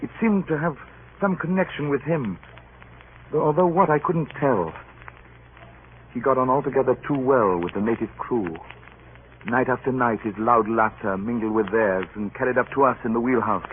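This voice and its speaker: An actor playing a stern, weary ship's captain in an old radio drama, speaking in a measured, formal way. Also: male voice, mid-range voice, natural voice. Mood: grave, reflective. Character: stern, weary male ship's captain telling his tale. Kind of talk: 1940s radio drama acting and narration. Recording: muffled old radio broadcast, clear hiss.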